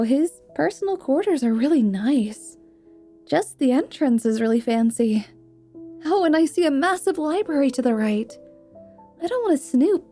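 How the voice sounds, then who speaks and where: A sweet, nervous young student mage chatters happily in a message, as a woman puts her on. Her voice sits fairly high yet mellow, eager and giggly. Soft music plays faintly behind her. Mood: cheerful. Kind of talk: ASMR roleplay.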